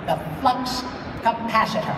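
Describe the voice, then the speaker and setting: A woman voicing an eccentric, excitable old male scientist in a comic live table read, explaining things grandly. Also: fairly high pitch, a little slow. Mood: grandly explanatory. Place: large convention hall stage. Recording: echoey hall from far off, loud noise.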